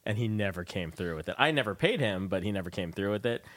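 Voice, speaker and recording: A man's voice: fairly low pitch, lively intonation, quick pace, a bit bright, no echo. A man hosting a radio show, chatting casually with a guest in a rambling, tangential way. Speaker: radio show host and interviewer. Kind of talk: casual interview chat.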